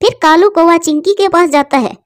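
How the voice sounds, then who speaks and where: A woman's voice: extremely high pitch, a little fast. A woman reading scripted narration for a children's bird story as its narrator.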